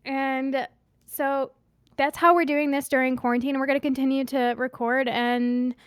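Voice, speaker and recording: A woman's voice: high voice, level tone, normal speed, clean tone, no echo, clean studio sound. A woman co-hosting a podcast, chatting in an upbeat, self-joking way. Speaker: podcast co-host. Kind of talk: casual chat.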